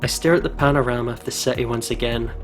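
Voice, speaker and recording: A man narrating a visual novel in an expressive way, as a playful gaming YouTuber. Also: medium pitch, fast talker, music in the background.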